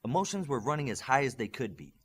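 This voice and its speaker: A man's voice: medium pitch, very animated, fast, husky. A man reading a superhero novel as a scripted audiobook narration.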